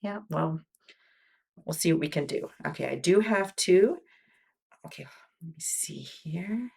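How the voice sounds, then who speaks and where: A woman doing an ASMR medical exam roleplay as a wound care clinician, talking gently and reassuringly, with many soft "okay"s and whispered asides. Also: sits low, lively intonation, a little slow, vocal fry, slightly rough.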